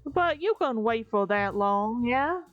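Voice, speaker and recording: A woman's voice: fairly high pitch, lively intonation, takes it slowly, no echo, pitched above the performer's own voice. A woman voicing a friendly, folksy flesh-lump creature janitor in a comic dub, hesitant and evasive. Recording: dull recording with background music.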